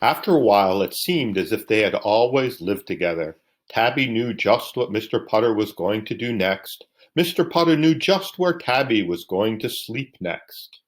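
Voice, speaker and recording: A man's voice: lowish voice, animated, normal speed, hardly any pauses. A man reading a children's bedtime story aloud. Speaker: storybook reader.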